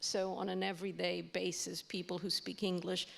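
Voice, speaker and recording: Flat, gravelly woman speaking reflectively. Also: fairly low pitch, normal speed. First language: Portuguese. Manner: reflective, searching for words. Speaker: panelist. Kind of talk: panel discussion.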